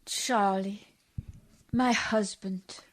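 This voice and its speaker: A woman's voice: medium pitch, steady tone, very slow. A woman playing a prim, devoted and spirited sweetheart in a radio play, earnest and exclamatory. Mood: adoring.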